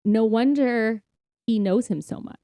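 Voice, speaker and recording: A woman's voice: fairly high pitch, a little slow, mellow, no echo, clean studio sound. A woman co-hosting a podcast, talking casually and earnestly about faith in an informal, explanatory way. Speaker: podcast co-host. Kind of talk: casual faith-focused conversation.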